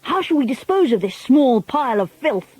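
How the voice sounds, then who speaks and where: A woman putting on squealing excited witches, high and husky, bouncing pitch. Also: normal speed, no echo, low-quality recording. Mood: eager. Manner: shrill, excited exclaiming. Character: crowd of shrieking, bloodthirsty female witches.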